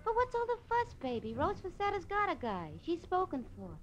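High-pitched flirty carhop. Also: female voice, big pitch swings, a little fast. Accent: American. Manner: flirtatious, sassy. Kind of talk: 1940s radio drama acting. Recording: muffled old radio recording, faint noise.

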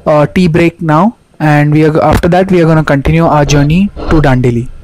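A man's voice: on the high side, fairly even intonation, fairly quick, darkish tone, low-quality recording. Hesitant Indian man on a motorbike. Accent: Indian English. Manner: hesitant, frequent "uh" fillers. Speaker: moto-vlogger. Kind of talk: casual travel narration. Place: outdoors on a motorcycle. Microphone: helmet-mounted action camera. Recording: wind and road noise. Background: road and engine noise.

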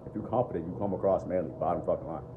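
A young man with a deep voice, talking blunt and profane off the cuff to his phone camera at home. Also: racing, narrow, tinny audio, background noise. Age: young adult. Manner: blunt, profane, repeats "bro" and "bottom line". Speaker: dating and self-improvement vlogger. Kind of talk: unscripted casual talk to camera. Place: living room at home. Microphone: phone camera at arm's length.

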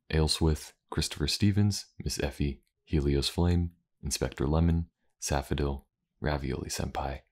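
A man's voice: very deep voice, darkish tone. A man who hosts an audio channel, giving a scripted thank-you outro. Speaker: voice actor and channel host. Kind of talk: scripted outro, thanking listeners and reading names.

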